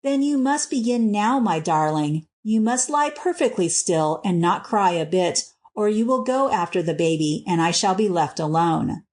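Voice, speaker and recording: Woman reading a tender husband, expressively pitched. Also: lively intonation, normal speed, hardly any pauses, a little mellow, natural voice. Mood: gently firm. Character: tender, protective gentleman husband soothing his wife.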